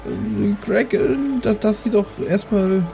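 Posh lady adventurer played by a man: raised pitch, prim, chatty and faltering, fantasy tabletop play. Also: unhurried, creaky, warm tone, soft background music, low-quality recording. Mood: prim, polite.

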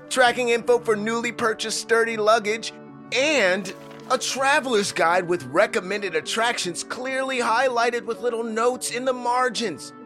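A man's voice: high-pitched, sharp tone. An actor playing a gullible, self-satisfied man with a naive, earnest delivery in a comedy-horror radio play.

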